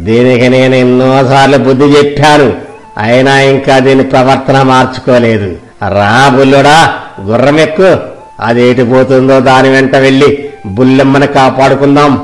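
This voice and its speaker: A man's voice: medium pitch, fairly even intonation, moderate pace, close to an everyday voice, poor audio. A man playing a boisterous, loyal reformed bandit in a theatrical storybook tale, scolding and rallying with protective force. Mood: encouraging. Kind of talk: dramatic children's storybook acting.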